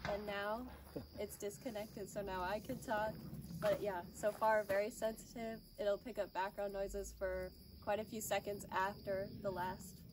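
A woman with a mid-range voice gives brief, casual remarks as a co-host testing gear. She is recorded outdoors at a distance from the mic, with clear noise, clicks and insects behind her. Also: medium pitch, little pitch movement, a little slow.

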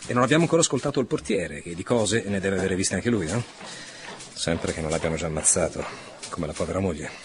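An actor playing a driven, cynical police commissioner in an Italian wartime radio drama, brisk and sardonic, thinking aloud. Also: male voice, deep voice, a little hiss or hum, lightly acted. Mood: brisk, pondering.

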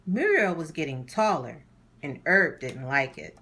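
A woman hosting a children's read-aloud, narrating a storybook in a deliberate, animated way for young listeners. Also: low-pitched, slight background noise.

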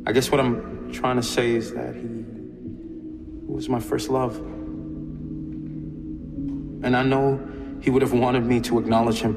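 An actor playing a grieving young man in an audio drama, speaking earnestly and haltingly as he confesses his love. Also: mid-range voice. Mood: tender. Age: young adult. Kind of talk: audio drama acting, halting heartfelt speech. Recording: echoey hall reverb, music behind.

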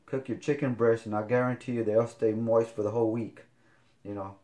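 A man, showing kitchen gear in a casual home video, talking haltingly with many fillers. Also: mid-range voice, level tone, mellow. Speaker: fitness vlogger. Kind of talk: casual product demo. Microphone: camera on counter.

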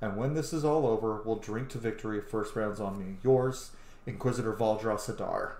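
A man in his thirties doing excitable, casual game commentary and reading game text aloud, swearing freely. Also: fairly low pitch, normal speed. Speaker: streamer playing a video game. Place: small indoor room. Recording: roomy, echoey home recording.

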